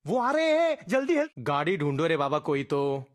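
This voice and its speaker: A performer voicing an excitable teammate in a battle game, barking comic callouts with exaggerated, drawn-out delivery. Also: mid-range voice, close to an everyday voice. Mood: bossy, comic. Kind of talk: shouted in-game voice-pack callouts, Hindi slang.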